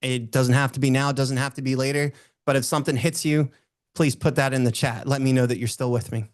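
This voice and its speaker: A man delivers a motivational talk in a booming voice of medium pitch. He moves between direct address and personal storytelling, stressing key phrases by repeating them. The sound is dry and close, with hardly any room in it. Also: steady tone, fairly quick, no room sound. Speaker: motivational speaker.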